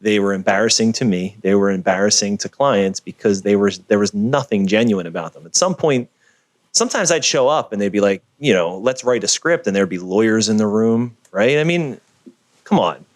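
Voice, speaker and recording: Guy with a lowish voice, punchy storyteller, dry close mic on a video call. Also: normal speed, dry recording. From Philadelphia area. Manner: energetic, emphatic storyteller. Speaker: guest, video producer. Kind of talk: conversational interview over a video call.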